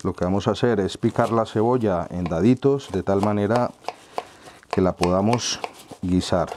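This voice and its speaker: Middle-aged Colombian-born man, easy friendly kitchen host, slightly low voice. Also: moderate pace, noisy background. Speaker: cooking tutorial host. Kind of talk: relaxed recipe explanation. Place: home kitchen, indoors.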